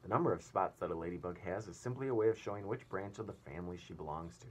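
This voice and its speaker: A man's voice: low-pitched, quick pace, slight background noise, close to an everyday voice. A man voicing a proud, prim female ladybug from a storybook, genteel and self-satisfied.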